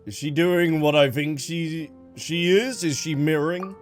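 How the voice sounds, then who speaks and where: A man's voice: on the high side, a little slow, faint music behind. A man giving casual, reactive commentary as he plays through a game's story. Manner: chatty, reactive, exclamatory. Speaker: game streamer reacting to story dialogue.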